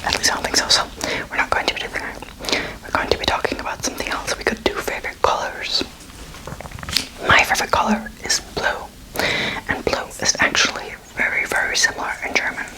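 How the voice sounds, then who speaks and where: A woman's voice: fairly quick, poor audio. A woman in her twenties giving an ASMR language lesson in a whisper, casual, playful and encouraging as she teaches. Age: 20s. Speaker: host teaching beginner German.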